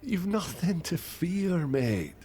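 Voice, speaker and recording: A man's voice: sits high, big pitch swings, slow pace, warm tone, heavily acted. An actor playing a blunt, cheeky, matey young man in a radio soap. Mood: incredulous.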